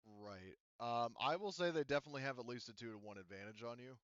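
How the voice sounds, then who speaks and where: A man running a tabletop roleplaying game as game master, narrating casually and haltingly as he thinks aloud. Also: medium pitch, a bit bright, no room sound, muffled recording.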